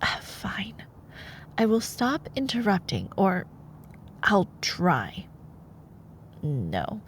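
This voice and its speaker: A woman reading a bedtime fairytale in a playful, expressive, wryly sarcastic way, as in a girlfriend role-play. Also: medium pitch, extremely slow, a little hiss or hum. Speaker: ASMR storyteller playing a girlfriend.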